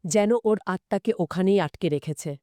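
A woman's voice: on the low side, moderate pace, warm tone, dry recording, studio quality. A woman co-hosting a conversational story discussion, retelling events dramatically and asking inquisitive questions. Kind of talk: scripted-sounding conversational storytelling.

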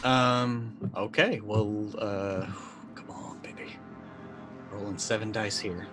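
Low-voiced man in his forties playing a tabletop game. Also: steady tone, takes it slowly, over music. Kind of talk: casual in-game play, describing his character's actions.

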